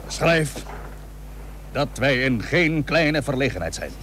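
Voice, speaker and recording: Radio play casting: a plain-spoken, reluctant naval hero, weighty archaic speech, lively pitch movement, faintly humming old recording. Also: male voice, takes it slowly, a little hiss or hum, full character voice. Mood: weary. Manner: blunt, formal archaic diction, weighty. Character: De Ruiter, plain-spoken, reluctant but dutiful 17th-century sea captain. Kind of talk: Dutch historical radio play acting.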